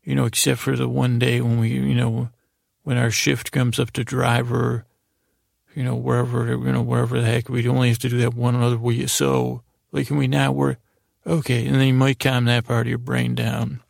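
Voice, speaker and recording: A man hosting a podcast, delivering a rambling bedtime monologue in a gravelly voice, halting and often interrupting himself as he meanders along. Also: medium pitch, little pitch movement, darkish tone, dry recording, studio quality. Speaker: podcast host.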